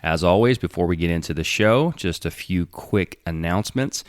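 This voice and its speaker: A man's voice: deep voice, animated, normal speed, dry recording, studio quality. A man hosting his podcast alone, reading announcements in a casual, rambling way while slightly stuffed up from a runny nose. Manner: conversational, rambling announcements with fillers. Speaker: podcast host. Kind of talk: casual solo podcast talk.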